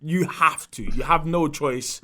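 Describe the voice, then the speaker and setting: A man's voice: a little high, unhurried, bright, forceful tone. A man hosting a podcast, upbeat and steering a casual chat. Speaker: podcast host.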